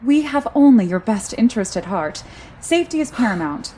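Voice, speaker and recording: A woman playing a calm, controlling car computer in an audio drama, formal and clinical, coldly protective and always politely commanding. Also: fairly high pitch, a little fast, slight background noise. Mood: coldly insistent.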